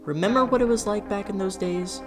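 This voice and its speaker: A person delivering a scripted, opinionated video essay in an expressive, emphatic way with conversational asides. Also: mid-range voice, fairly quick. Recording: music behind the voice. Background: background music.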